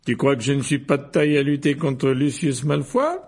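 Excitable storybook dad done by a male narrator: raspy, swooping pitch, eager and exclaiming. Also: normal speed, full character voice. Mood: fierce, indignant. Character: excitable, enthusiastic middle-aged family father.

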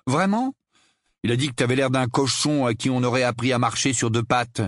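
A man's voice: medium pitch, brisk, no room sound, pitched above the performer's own voice, lightly acted. A man voicing a defiant, sarcastic teenage boy who taunts and mocks, in a storybook reading. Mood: goading, contemptuous. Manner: mocking, taunting, dry.

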